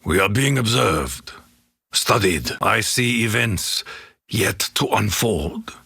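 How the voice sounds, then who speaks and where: An actor playing a solemn, honor-bound Japanese warrior in a video game, with a Japanese accent and a grave, formal, measured delivery in a gravelly, husky voice. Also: male voice, fairly low pitch, expressive, sharp tone, no echo, studio quality, exaggerated voice. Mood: grave, wary. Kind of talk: scripted video game character quote lines.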